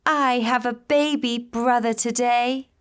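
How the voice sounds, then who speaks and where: A woman's voice: on the high side, fairly even intonation, takes it slowly, clean tone, voice pushed up. A woman voicing a sweet, affectionate little granddaughter in a children's storybook tale. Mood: excited.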